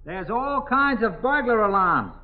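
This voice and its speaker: An actor playing a steady, reassuring police sergeant who calls out plain questions in 1950s radio drama style. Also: male voice, high-pitched, unhurried. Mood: calm, reassuring. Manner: raised voice, plain-spoken questioning. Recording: dull 1950s radio recording.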